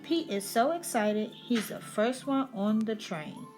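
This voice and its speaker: A woman's voice: fairly high pitch, animated, a little slow, a little mellow, faint music behind. A woman reading a children's picture book aloud as a storyteller on her channel.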